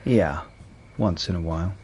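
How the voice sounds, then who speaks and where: Low-key, world-weary detective. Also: male voice, moderate pace, a little mellow, breathy edge. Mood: tired, low-key. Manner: casual, pragmatic, low-key. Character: matter-of-fact, world-weary police detective. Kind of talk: naturalistic radio drama acting.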